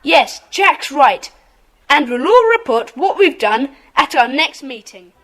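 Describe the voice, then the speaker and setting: A woman playing an earnest, bossy schoolboy club leader in a children's audio drama, brisk and insistent. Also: high voice, big pitch swings, a bit bright, soft background music, full character voice.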